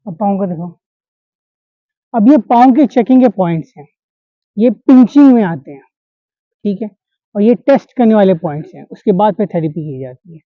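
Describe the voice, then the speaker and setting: A man's voice: high voice, expressive, moderate pace, long pauses, dry recording. Man teaching acupressure to a class. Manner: instructive, checks in with students. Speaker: acupressure instructor. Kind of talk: hands-on demonstration class. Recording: dull lo-fi sound.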